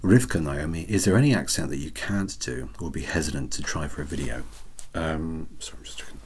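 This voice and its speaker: Very deep, soft-spoken man. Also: brisk, a little hiss or hum. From Wales. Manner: wry, self-deprecating. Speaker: ASMR channel host. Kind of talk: soft-spoken Q&A, reading out questions and answering.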